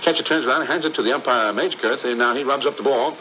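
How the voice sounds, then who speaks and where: A man voicing a young, exhaustively earnest 1930s radio baseball announcer, calling every detail haltingly. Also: medium pitch, sharp tone, voice pushed up, lightly acted, rough recording. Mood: painstaking, excited. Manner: painstaking, halting blow-by-blow detail. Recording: radio recording with faint noise.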